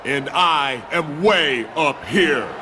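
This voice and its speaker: A man playing an arrogant, menacing wrestling champion in a video game, cocky, boastful and deliberate. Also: on the high side, crowd noise, background noise.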